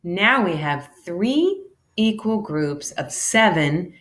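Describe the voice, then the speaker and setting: A woman reads a children's educational graphic novel aloud. Her pace is slow and deliberate, and her slightly low voice moves with plenty of expression as she shifts between character lines. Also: big pitch swings, fairly bright tone. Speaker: teacher reading a children's math book aloud.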